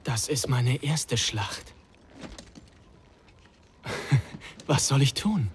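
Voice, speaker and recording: An actor playing an eager, honor-bound young troll warrior in a game cinematic, with an earnest, deliberate delivery. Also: male voice, mid-range voice, slight background noise. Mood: respectful, curious. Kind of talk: dramatic game cinematic acting.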